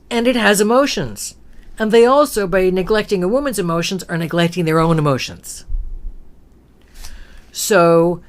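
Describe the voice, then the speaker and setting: Low-voiced woman preaching on a talk show. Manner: emphatic, preachy rhetorical questions. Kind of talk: opinionated spiritual talk.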